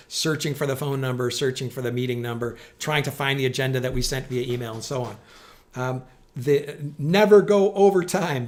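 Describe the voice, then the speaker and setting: A middle-aged man presenting practical tips in an organized, plain-spoken explainer style, stressing his key points. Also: fairly high pitch. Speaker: presenter giving communication tips. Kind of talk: instructional explainer to camera.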